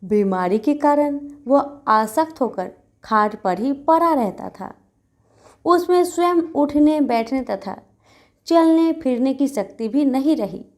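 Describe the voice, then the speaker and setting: A woman's voice: high-pitched, lively intonation, slow, darkish tone. A woman narrating a scripted devotional story as a storyteller.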